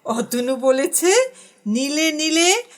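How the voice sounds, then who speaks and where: An actress playing a doting, chatty elder sister in an audio drama, affectionate and fussing. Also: female voice, high voice, slow pace. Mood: chatty, teasing. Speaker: supporting character.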